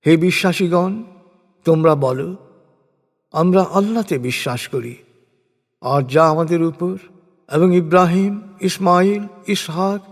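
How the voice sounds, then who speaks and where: A man with a fairly high voice reads sacred text very slowly and solemnly. Each phrase is deliberate and measured, carried on a long reverb. The delivery is a scripted religious recitation.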